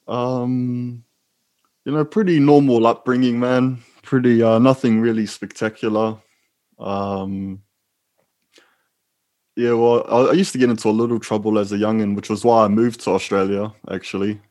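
A man's voice: mid-range voice, steady tone, normal speed, a little mellow. A man with a New Zealand accent, a former prisoner, talking as a guest in a casual, rambling way. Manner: laid-back, rambling, calls the host bro. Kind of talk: casual interview talk.

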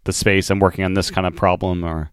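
A man hosting a podcast, relaxed and agreeable, asking follow-up questions in casual interview chat. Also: deep voice, quick pace, smooth, no room sound. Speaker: podcast host.

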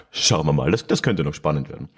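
Very low-voiced chatty podcast host. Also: male voice, animated, normal speed, a bit bright, slightly rough. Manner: chatty, chuckling asides, hesitant fillers. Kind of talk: casual solo podcast narration.

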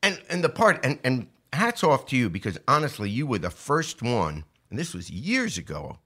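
A man's voice: medium pitch, wildly swooping pitch, normal speed, sharp tone. An American man giving conversational opinion commentary, earnest and emphatic, posing rhetorical questions. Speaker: talk show host.

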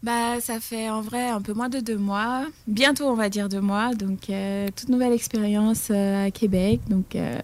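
A woman's voice: mid-range voice, fairly even intonation, unhurried, few pauses, no room sound. Easygoing woman from Côte d'Ivoire. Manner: friendly, easygoing answers. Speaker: radio guest, trainee. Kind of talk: casual radio interview.

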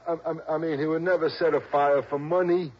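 A man's voice: on the high side, fairly even intonation, slight background noise, close to an everyday voice. An actor in an old radio drama playing a badly beaten informant, weak and dazed, stammering and repeating himself as he stubbornly tries to warn. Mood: halting, urgent. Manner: halting, stammering, repeats words. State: weak, groggy from a beating. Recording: dull old radio recording.

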